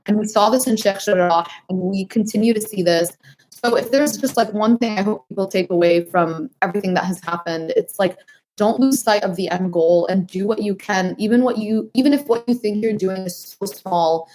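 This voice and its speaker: A woman's voice: medium pitch, normal speed, a little mellow, a little hiss or hum, rough recording. A woman in her twenties from New Hampshire, speaking earnestly as a podcast guest, with many fillers like "like" and "um". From New Hampshire, United States. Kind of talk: interview answers.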